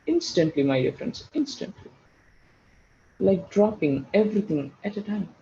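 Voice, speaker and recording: A man with a high-pitched yet very soft, mellow voice that turns creaky, guiding a meditation. He gives slow, soothing instructions and repeats short phrases, on a dull recording. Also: sing-song, a little hiss or hum. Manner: repeats phrases for emphasis, addresses listeners as friends. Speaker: guided meditation teacher.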